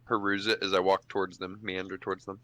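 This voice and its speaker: A man's voice: deep voice, completely flat delivery, fast talker, pushed and bright. A man casually describing his character's actions as a player in an improvised tabletop game. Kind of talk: casual improvised role-play.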